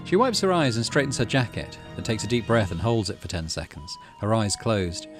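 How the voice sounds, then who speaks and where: Low-voiced man doing game commentary. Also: animated, a little fast, soft background music. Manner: thinks aloud, mutters through decisions. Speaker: game streamer, let's play host. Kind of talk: let's play commentary, reading story text aloud.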